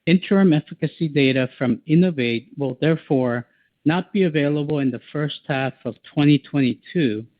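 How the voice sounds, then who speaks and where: Over a tinny, narrow phone connection, a man with a slightly high voice reads a prepared corporate statement. He is an executive addressing an earnings call, formal and measured throughout. The sound is dry, with no room around it. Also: no room sound.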